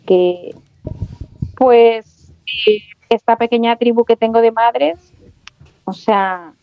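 A Mexican woman guest talking in a chatty, animated way, with colloquial slang. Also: on the high side, slow, no room sound, muffled recording. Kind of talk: casual podcast conversation.

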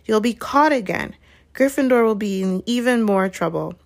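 A woman's voice: medium pitch, animated, moderate pace, pitched above the performer's own voice, lightly acted. A woman voicing an anxious, earnest schoolboy in a storybook who stubbornly stands his ground. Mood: worried. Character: timid, clumsy schoolboy nervously standing up to friends.

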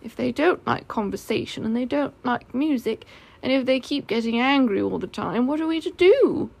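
A woman's voice: fairly high pitch, animated, moderate pace, vocal fry, darkish tone. A woman voicing a simple, earnest male toy bear in a storybook, with a growly, plaintive manner. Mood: bothered. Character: slow-witted, earnest, honey-loving male toy bear.

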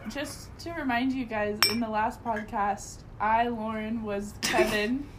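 A woman's voice: on the high side, steady tone, a little slow, slight background noise, poor audio. A young woman co-hosting a casual podcast who steers the conversation and laughs often. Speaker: podcast co-host. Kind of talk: casual chat.

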